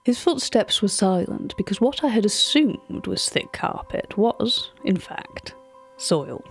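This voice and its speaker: A woman playing a polite, curious auction curator, narrating scripted audio fiction in a measured, literary way. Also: medium pitch, very animated, slightly rough, soft background music, full character voice. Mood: hushed wonder. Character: curious, polite female auction catalogue curator telling eerie tales. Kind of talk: scripted first-person narration.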